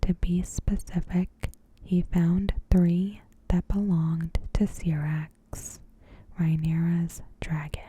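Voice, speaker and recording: A woman softly whispering a scripted recap in a gentle, hushed, breathy ASMR narration. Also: lowish voice. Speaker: ASMR host.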